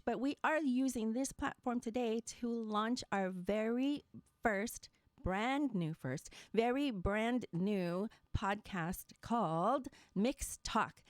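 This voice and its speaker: Excitable woman streamer, dry sound. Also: medium pitch, no echo. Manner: upbeat, excitable, many fillers and restarts. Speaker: podcast host and variety streamer. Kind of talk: casual livestream podcast talk.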